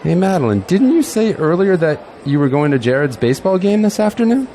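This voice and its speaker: Man acting animated, self-assured career politician. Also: sits high, fairly quick. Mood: relaxed, friendly. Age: middle-aged. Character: seasoned, self-assured male politician running for president. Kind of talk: audio drama acting.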